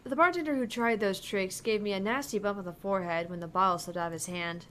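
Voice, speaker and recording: A woman voicing a dry, skeptical young female bartender in a video game, reserved and deadpan. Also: mid-range voice, quick pace, close to an everyday voice. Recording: clear background noise.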